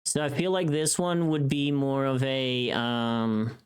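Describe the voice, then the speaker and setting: A livestreamer chatting casually while working, informal and thinking out loud. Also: medium pitch, fairly even intonation, takes it slowly, a little hiss or hum.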